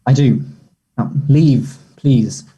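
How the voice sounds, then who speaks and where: A man at a play table read, playing a conflicted, defensive young man full of guilt and distress, with a husky voice. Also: mid-range voice, slow, dark tone. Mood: hostile, hurt.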